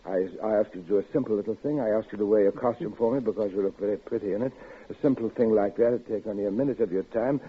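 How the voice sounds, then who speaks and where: An actor in a 1950s radio drama playing an obsessive, lonely man who threatens a woman with a knife, his soft crooning flaring into shrill outbursts. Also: very fast, few pauses, slightly rough. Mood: menacing. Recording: tinny old radio broadcast with faint hiss.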